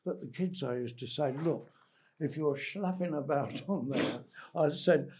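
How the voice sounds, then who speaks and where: A man's voice: a little high, unhurried, a little mellow. A man chatting casually in an interview as a pantomime veteran, with some background noise. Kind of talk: casual chat.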